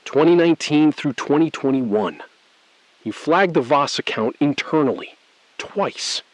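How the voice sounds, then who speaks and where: A cool, calculating bank insider, played by a man for an audio thriller. He speaks in precise, measured phrases with a careful evasiveness. The sound is dry and close. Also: a little high, lively intonation, no room sound, low-quality recording. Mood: coolly knowing. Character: calm, calculating male bank insider, coolly complicit. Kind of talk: scripted audio thriller acting.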